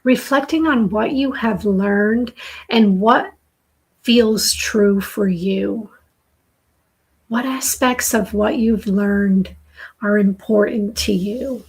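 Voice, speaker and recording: A middle-aged woman with a raspy, dry-throated voice, slowly and softly giving a live tarot reading as host. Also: mid-range voice. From southern New Hampshire. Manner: slow, soft, soothing intuitive reading.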